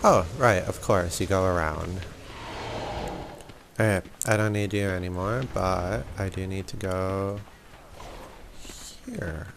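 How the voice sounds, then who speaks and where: Male gaming commentator: lowish, creaky voice, informal and hesitant, thinking aloud. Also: slow, pauses often, background noise. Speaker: gaming video creator. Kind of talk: casual gameplay walkthrough commentary. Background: faint game music.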